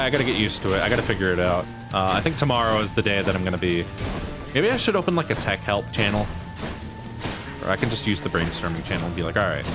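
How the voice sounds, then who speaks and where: A man with a somewhat low voice chats loosely while he plays, circling his points and poking fun at himself. Game music runs clearly under his talk. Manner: rambling, many fillers and restarts, self-deprecating. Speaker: streamer. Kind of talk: casual chat while gaming.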